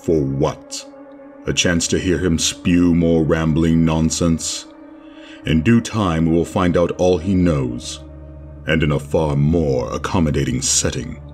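A man voicing a cold, menacing figure of authority with formal, deliberate speech in a horror audio drama. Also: rumbling low, fairly even intonation, a little mellow, faint music behind. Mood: cold, ominous.

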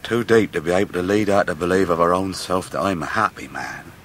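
An actor playing a humble, heartbroken young fisherman in a radio drama, halting and earnest. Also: deep voice, a bit bright, a little hiss or hum. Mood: sorrowful, pleading. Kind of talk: 1990s radio drama acting.

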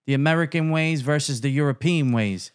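A man's voice: fairly high pitch, a little fast, clean tone. A middle-aged man, hosting a podcast and chiming in agreeably with his guest. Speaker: podcast host. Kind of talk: casual interview chat. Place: podcast studio, indoors. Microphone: studio mic with headset.

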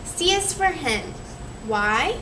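A woman's voice: high-pitched, very animated, slow. A young woman reading a picture book aloud to children in a teacherly way, prompting them with questions. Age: young adult. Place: small indoor room, seated in a chair. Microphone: camera a few metres away. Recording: distant camera mic, clearly noisy room.

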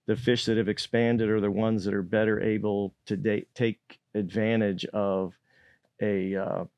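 Older guy, kinda low voice, slow careful answers with lots of uh's, dry close studio mic. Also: flat delivery, a little mellow, dry recording. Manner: measured, hesitant, many fillers and restarts. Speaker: state fisheries manager, guest. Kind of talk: explanatory interview answers. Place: indoor podcast studio. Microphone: boom-arm studio mic.

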